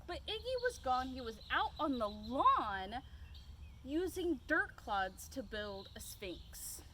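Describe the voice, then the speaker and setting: A woman's voice: high-pitched, big pitch swings, takes it slowly, pushed and bright. A woman reading a children's picture book aloud outdoors, expressively, with asides to young listeners. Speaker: storytime reader. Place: outdoors by a playhouse. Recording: clear outdoor background noise.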